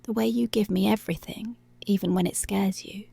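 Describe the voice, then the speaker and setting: A woman acts out a dominant policewoman in an ASMR roleplay. Her voice is breathy and soft-edged with a crackle of fry, often slipping into whispers. She speaks slowly and teasingly, very close and dry on the microphone, always in control. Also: medium pitch, fairly even intonation, no echo. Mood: intimate, commanding. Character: dominant, commanding, seductive policewoman.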